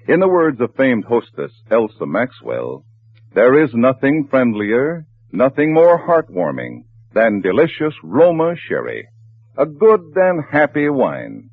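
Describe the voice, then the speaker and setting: A man reading a polished advertisement as a 1940s radio announcer, with a husky voice. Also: medium pitch. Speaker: commercial announcer. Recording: tinny, echoey 1940s radio broadcast.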